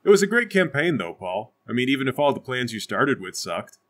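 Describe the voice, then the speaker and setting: A man's voice: medium pitch, very animated, fairly quick, fairly bright tone. A man playing a tabletop game, bantering comedically in a questioning, argumentative way.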